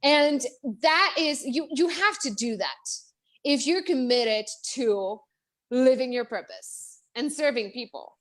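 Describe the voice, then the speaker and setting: A woman with a Czech accent, a guest speaker in a live-streamed interview, talking in a chatty, animated way with many restarts and fillers. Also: high voice, takes it slowly. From Czech Republic.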